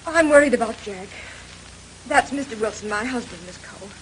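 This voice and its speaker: Actress as a high, fretful, frightened wife. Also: female voice, lively intonation, a little fast, lightly acted. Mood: worried. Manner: plaintive, fretful. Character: anxious, fretful injured wife, fearful for her husband. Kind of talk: melodramatic 1930s radio acting. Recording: noisy vintage radio transcription.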